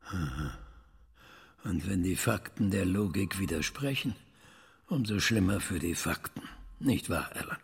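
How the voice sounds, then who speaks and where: Radio drama role: weary, wistful middle-aged trainer, low gravelly voice, slow delivery, long reverb. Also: male voice, expressive, heavily acted. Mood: probing. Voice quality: husky, gravelly. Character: weary, wistful male trainer of a thinking computer.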